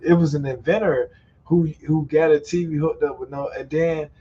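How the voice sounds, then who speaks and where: A man's voice: a little high, fairly even intonation, moderate pace, husky. A man hosting a live stream who talks in a loose, profane, storytelling way and laughs often. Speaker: YouTuber and stream host. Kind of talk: casual chat on a live stream. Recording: muffled sound with faint ambient noise.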